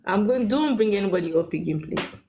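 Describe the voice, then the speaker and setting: A woman's voice: mid-range voice, lively intonation, fairly quick, vocal fry. Woman hosting a noisy livestream. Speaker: livestream host giving spiritual consultations. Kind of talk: live call-in chat with followers.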